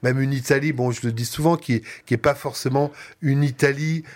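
A man's voice: mid-range voice, steady tone, fairly quick, a little mellow. Hesitant male radio host. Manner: hesitant, many fillers and restarts. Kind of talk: casual radio talk show. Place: radio studio around a table.

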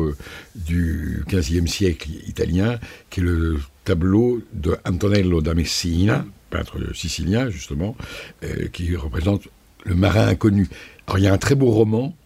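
Deep gravelly older Parisian man. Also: mellow. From France. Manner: measured, storytelling, erudite. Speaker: guest. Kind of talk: conversational interview.

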